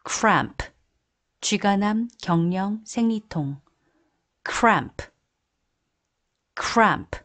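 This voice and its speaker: A woman teaching English vocabulary as a voice-over, saying each word and repeating it clearly in steady word drills. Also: medium pitch, moderate pace, long pauses. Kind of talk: slow, deliberate word drills.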